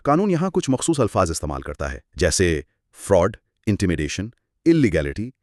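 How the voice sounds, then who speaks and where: A man co-hosting a scripted explanatory discussion, speaking in a measured, emphatic, didactic way. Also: deep voice, very animated, a little mellow, no echo, clean studio sound. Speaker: co-host explaining law.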